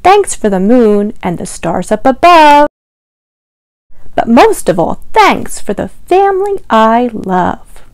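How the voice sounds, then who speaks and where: A young woman with a high, very animated voice hosts storytime for small children. She speaks very slowly in a sing-song manner, reading simply and pausing to ask questions. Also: dry recording. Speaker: children's librarian, storytime host. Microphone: lavalier clipped to T-shirt.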